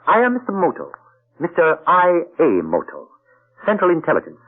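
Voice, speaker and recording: A man's voice: fairly high pitch, wildly swooping pitch, moderate pace, exaggerated voice. A man playing a suave, polite male intelligence agent who narrates and speaks formally in proverbs, in an old radio play. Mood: courteous. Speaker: narrator and lead character. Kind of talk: 1940s radio acting. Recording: tinny old radio broadcast.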